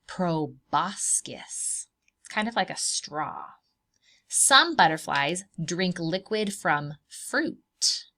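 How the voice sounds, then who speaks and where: A woman's voice: medium pitch, a bit bright. A woman preschool teacher reading aloud to young children, explaining things simply, asking questions and drawing out key words.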